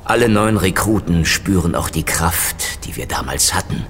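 An actor playing a proud, ruthless East German ex-major general in an audio drama, boastful and formal with military self-assurance. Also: male voice, deep voice, lively intonation, moderate pace, a bit bright, a little hiss or hum. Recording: music playing behind the voice.

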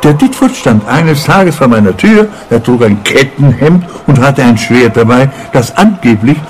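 A grumpy older enthusiast of history played by a male actor, mid-pitched and opinionated. He speaks dismissively, as a radio play character, with faint noise behind him. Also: lively intonation, normal speed, close to an everyday voice. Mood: grumbling. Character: grumpy older male amateur scholar of the Middle Ages. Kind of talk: radio crime drama dialogue.

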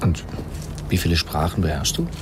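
A man acting in a radio play as a suave, curious astronomer with playful vanity and a gently ironic way of talking. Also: deep voice, animated, moderate pace, background noise. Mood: teasing.

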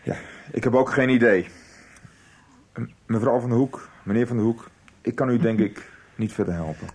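An actor playing a brusque, formal, unhelpful middle-aged man with a husky voice in a radio soap. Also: medium pitch, moderate pace, darkish tone, natural voice. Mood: dismissive, formal.

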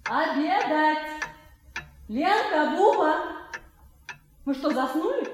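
An exasperated, scolding mother in a radio play. Her high voice swoops about in drawn-out calls, ringing through an echoey space. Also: animated, faint music behind. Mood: exasperated, calling. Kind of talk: Russian radio drama acting.

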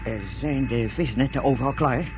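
A man's voice: medium pitch, normal speed, background noise, natural voice. A man playing a confident, scheming leader of a raft crew in a radio drama, bossy and gleeful.

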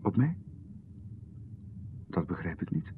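An actor playing a polite, nervously apologetic young artist in a radio drama, hesitant and stammering. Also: male voice, low-pitched, big pitch swings, takes it slowly, a little hiss or hum, full character voice. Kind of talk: 1990s radio drama acting. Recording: lo-fi radio broadcast, phone-like band.

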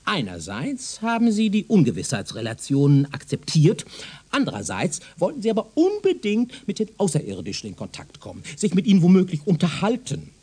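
A self-assured professor from the future in a radio play. His husky voice swings dramatically up and down as he lectures. The manner is pedantic and condescending, full of rhetorical emphasis. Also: high voice, huge pitch swings, a little fast, warm tone, exaggerated voice. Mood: patronizing, reproving. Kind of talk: German radio drama acting, lecturing dialogue.

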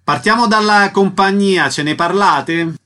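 A man's voice: high voice, unhurried, bright, forceful tone. A man hosting a radio interview, putting questions concisely.

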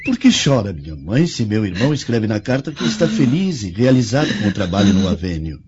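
Very animated carefree young husband. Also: male voice, on the low side, fairly quick. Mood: concerned. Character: playful, carefree young husband and devoted son. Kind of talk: radio drama acting. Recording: dull lo-fi recording, faint noise.

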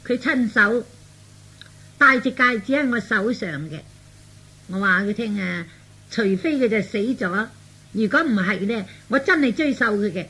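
Man putting on a high, petulant young woman. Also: expressive, measured pace, pushed and bright, breathy edge, heavily acted. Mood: indignant, vengeful. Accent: Cantonese. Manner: whining, insistent. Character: petulant, lovesick young woman, willful daughter. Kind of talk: Cantonese storytelling radio drama.

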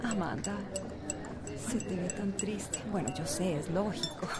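An actress with a Costa Rican accent playing a talkative, affectionate friend, effusive and teasing, in a radio soap. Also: female voice, fairly low pitch, unhurried, music in the background, close to an everyday voice. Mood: gently concerned.

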